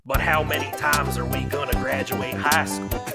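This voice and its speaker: A woman's voice: rumbling low, level tone, moderate pace, loud music behind the voice. A woman co-hosting a comedy podcast, joking and giggly with a playful drawl. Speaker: podcast co-host. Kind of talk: casual comedic chat.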